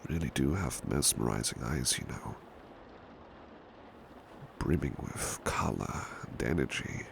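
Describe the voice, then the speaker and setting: A man playing a devoted, protective butler in an intimate ASMR roleplay, speaking in a hushed, gentle, courteous and hesitant British voice. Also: very deep voice, measured pace, gravelly, full character voice. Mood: reassuring, devoted. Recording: steady background noise, rain ambience. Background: rain ambience.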